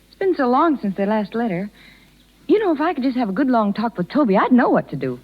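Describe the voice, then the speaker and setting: Young female circus rider, American, tender and earnest, very animated high voice, muffled old radio sound. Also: fast talker. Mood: wistful. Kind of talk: 1930s radio drama acting. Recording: muffled 1930s radio broadcast recording.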